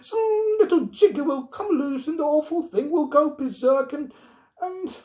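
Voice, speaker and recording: A man's voice: very high-pitched, a little slow, a little mellow, pitched above the performer's own voice. A man voicing an anxious, indignant wife and mother in a storybook reading, pleading and emphatic. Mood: flustered.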